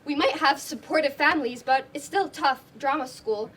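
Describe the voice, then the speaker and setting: A woman's voice: sits high, normal speed, a bit bright. A young woman student acting in an amateur stage play as an earnest, encouraging drama student friend. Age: teenager or young adult student performer. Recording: distant, noisy live stage recording.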